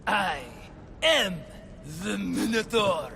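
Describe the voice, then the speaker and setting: A man's voice: expressive, drawn-out words, vocal fry, bright, forceful tone, big-room sound, faint music behind, heavily acted. An actor playing a cowardly man who pretends to be a roaring Minotaur, then drops it and pleads meekly, stammering. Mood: blustering. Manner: booming fake threats, then meek and stammering.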